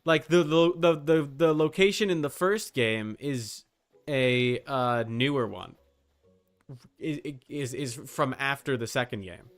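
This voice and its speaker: A young man talks casually while livestreaming a game. His voice is smooth and resonant with a fry at its edges. He rambles and halts, often repeating a word before going on, with faint music behind him. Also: medium pitch, lively intonation. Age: young adult. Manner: rambling, halting, repeats words. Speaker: game streamer.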